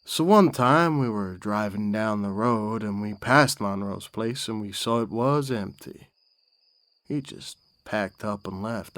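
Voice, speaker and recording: A man playing a wistful rural man recalling his father, telling it in a slow, folksy, reflective monologue. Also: fairly low pitch, dry recording. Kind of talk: dramatic storytelling monologue.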